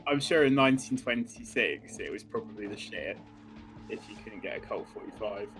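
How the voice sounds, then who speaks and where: A man's voice: fairly low pitch, lively intonation, normal speed, fairly bright tone, soft background music. A man co-hosting a gaming video in casual banter, joking dryly and swearing now and then. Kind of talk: casual banter over gameplay.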